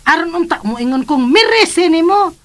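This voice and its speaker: An actress playing a gossipy, sharp-tongued middle-aged mother in a radio drama, scolding and sardonic, with a Filipino accent. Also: extremely high pitch, animated, normal speed.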